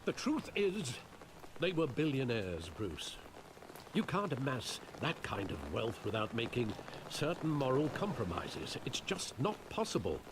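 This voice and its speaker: An actor playing a loyal, weary older butler in a video game, speaking in a measured, somber way. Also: male voice, medium pitch, big pitch swings. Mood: pleading. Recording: game audio with rain noise.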